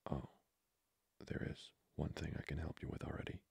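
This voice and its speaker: Casting: male actor as seductive aristocratic vampire for ASMR roleplay, extremely low gravelly voice, courtly and intimate. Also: little pitch movement, darkish tone, dry recording, heavily acted. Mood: courteous, flirtatious. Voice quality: breathy, whispery, gravelly. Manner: formal, slow, seductively polite. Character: flirty, courtly male vampire lord, hungering for blood.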